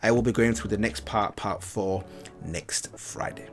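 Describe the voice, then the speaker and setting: A man with a fairly low voice hosting a reaction video. He chats casually, rambling a little as he thinks aloud and repeats phrases. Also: unhurried. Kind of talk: casual chatty commentary.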